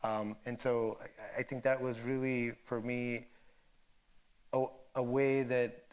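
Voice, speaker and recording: A man's voice: medium pitch, flat delivery, slow, clean tone. A man moderating a film discussion, speaking thoughtfully in hesitant, broken phrases. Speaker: panel moderator. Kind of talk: thoughtful spoken commentary at a film Q&A.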